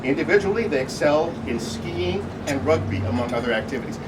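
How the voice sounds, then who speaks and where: A man with a slightly high voice reads a light, humorous tribute, slipping in wry asides as he goes. He is picked up from some distance, with very loud room noise around him. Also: lively intonation, brisk. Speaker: speech reader at a birthday celebration. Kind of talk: reading a humorous tribute speech with ad-libbed asides.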